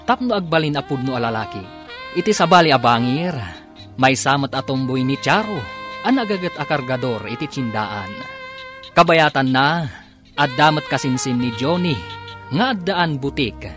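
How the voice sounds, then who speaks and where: A man announcing and narrating a radio drama in a dramatic, scripted style. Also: mid-range voice.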